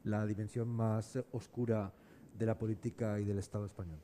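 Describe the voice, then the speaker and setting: A man moderating a panel, putting questions in hesitant, measured phrases. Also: lowish voice, little pitch movement, dark tone, a little hiss or hum. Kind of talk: interview questions at a panel discussion.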